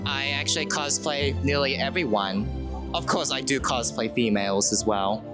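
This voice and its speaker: A man's voice: mid-range voice, moderate pace, over music. A man from Australia giving casual answers as an interviewee. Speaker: cosplayer being interviewed.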